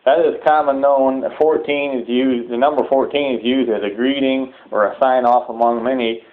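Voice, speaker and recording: A man delivering a sermon-like public address in halting, deliberate phrases, repeating words for emphasis. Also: medium pitch, fairly even intonation. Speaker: preacher giving a public address. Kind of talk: sermon-like extremist political address. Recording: muffled, thin phone-like audio.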